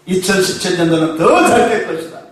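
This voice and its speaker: High-voiced preaching man in echoey church. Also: expressive. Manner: call-and-response preaching, asking the congregation questions; slows to stress key phrases. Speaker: pastor. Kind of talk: sermon. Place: church sanctuary. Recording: echoey church hall, mic far off.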